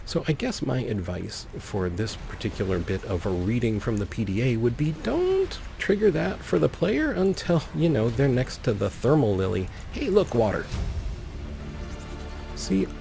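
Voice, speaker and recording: A man's voice: medium pitch, very animated, moderate pace, gravelly, dark tone, faint music behind. A man giving casual, playful gameplay commentary as a let's play host, rambling with wry asides. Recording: faint background noise.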